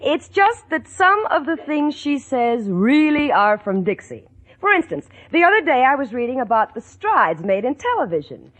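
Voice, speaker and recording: A woman's voice: high-pitched, very animated, moderate pace, fairly bright tone, full character voice. An actress playing a sensible, sardonic young female roommate, delivering punchy wisecracks in a 1940s radio sitcom. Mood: wry, amused. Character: wry, sensible young woman roommate narrating.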